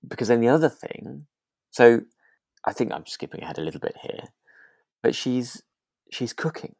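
A woman's voice: a bass voice, sing-song, moderate pace, vocal fry, darkish tone, dry recording. A woman co-hosting a podcast, chatting casually about a drama in a hesitant, giggly way, thinking aloud. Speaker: podcast co-host. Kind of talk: casual chat reviewing a radio drama.

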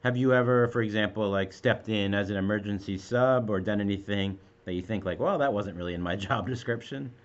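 A man's voice: fairly low pitch, fairly quick, hardly any pauses, muffled recording. A man hosting a casual interview, asking questions and reacting with encouragement and laughter. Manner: upbeat, encouraging, laughs along.

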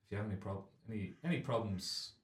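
A young man in his twenties hosting a comic chat show, loud and rambling, with a deep carrying voice that is a bit phlegmy. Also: animated, fast talker. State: phlegmy, clearing throat. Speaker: radio show host. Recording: echoey studio room.

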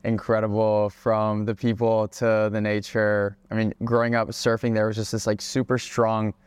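A young man raised in Hawaii, a guest on a casual podcast interview, talking in a relaxed way with plenty of fillers and restarts. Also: on the low side, normal speed, smooth, dry recording. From Czech Republic, raised in Hawaii. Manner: relaxed, many fillers and restarts, laughs often. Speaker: guest, travel creator.

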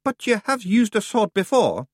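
A man voicing a gruff, weary little warrior in a storybook reading. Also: high-pitched, sing-song, moderate pace, no echo, studio quality, voice pushed up.

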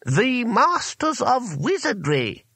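A man's voice: high voice, big pitch swings, sharp tone, no room sound, pitched above the performer's own voice, exaggerated voice. A man voicing a haughty, pompous old male wizard schoolmaster in an audiobook story. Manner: haughty, halting when embarrassed.

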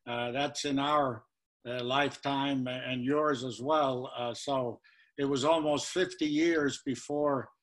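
A man in his eighties, born in Lithuania, answering interview questions about his childhood, with many pauses and fillers. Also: medium pitch, steady tone. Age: 80s. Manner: halting, many uh fillers, trails off quietly. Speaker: guest.

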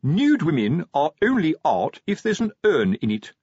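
A man voicing a self-important, slow-witted middle-aged police sergeant in an audiobook, with a pompous, explaining manner. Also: fairly high pitch, huge pitch swings, darkish tone, slightly rough, no echo, muffled recording, voice pushed up, exaggerated voice. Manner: pompous, explanatory, tag questions.

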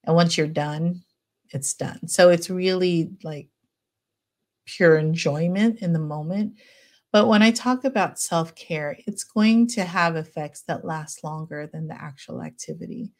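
A middle-aged woman psychologist speaking as a podcast guest, gentle and chatty, with frequent fillers and easy laughter. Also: fairly low pitch, a little slow. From United States. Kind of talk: casual podcast interview.

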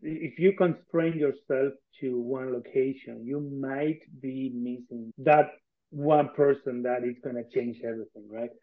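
An Argentine-accented man talks as an interview guest in a conversational, earnest way. His pitch sits in the middle for a man, and he leans on repeated phrases for emphasis. The audio is thin and narrow, like a phone call. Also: steady tone, unhurried. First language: Spanish. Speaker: interview guest, tech company co-founder.

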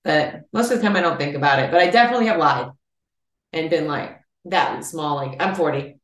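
A woman's voice: sits low, brisk, fairly bright tone. A woman in her forties hosting a casual chat, laughing often and thinking aloud. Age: 40s. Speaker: podcast host. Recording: roomy, distant mic.

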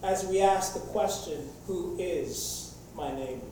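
A man's voice: sits high, slow pace, dark tone, a little hiss or hum. A man preaching a sermon to a congregation in a casual, chatty way, with many fillers and restarts. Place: large echoey church hall. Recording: echoey church hall from far off.

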